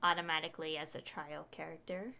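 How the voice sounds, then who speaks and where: A woman's voice: low-pitched, level tone, fairly quick, creaky, noisy background. A woman giving casual live gameplay commentary as a streamer, hesitant and thinking aloud, often trailing off. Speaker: game streamer.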